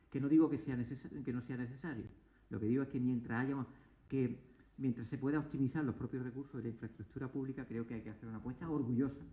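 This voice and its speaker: A man's voice: mid-range voice, reverberant, muffled recording. A man talking politics as a guest, emphatic, piling up points and restarting words. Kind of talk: argumentative political talk. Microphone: table mic, asked to move it closer.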